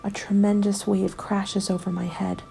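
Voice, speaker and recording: A woman narrating a scripted first-person ASMR story in a soft, measured, often whispery delivery. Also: medium pitch, level tone, gravelly. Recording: background music under the voice. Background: music.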